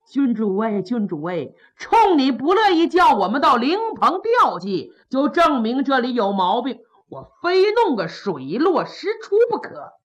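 A woman voicing a shrewd old male minister who talks in a loud, brisk, bluff way. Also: high-pitched, big pitch swings. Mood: scheming.

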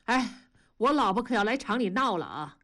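A woman's voice: a little high, sing-song, normal speed, bright, forceful tone, exaggerated voice. A woman voicing an aggrieved male factory worker who gripes sarcastically in colloquial speech, in an audiobook. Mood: sarcastic grumbling.

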